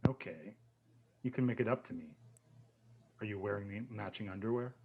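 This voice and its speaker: A man playing a crude, controlling, contemptuous husband in a table read, with a husky voice. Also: lowish voice, moderate pace. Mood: low, demanding. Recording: dull, muffled lo-fi sound.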